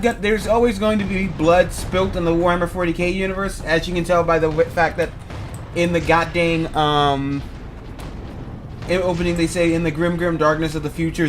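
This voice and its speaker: High-voiced rambling male gamer. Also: steady tone, normal speed, slight background noise. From Louisiana, United States. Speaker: gaming video host. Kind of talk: casual let's play commentary. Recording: game music clearly behind. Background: game music.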